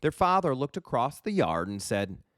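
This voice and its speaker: A man's voice: mid-range voice, big pitch swings, moderate pace, dry recording, studio quality. A man narrating a children's storybook as a reader for kids.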